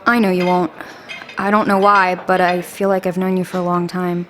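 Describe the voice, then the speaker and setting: An actress playing a frank, caring but guarded young village woman in a fantasy audio drama, brisk and naturalistic in delivery. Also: fairly low pitch, little pitch movement, noisy background, close to an everyday voice. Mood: guarded, sorrowful.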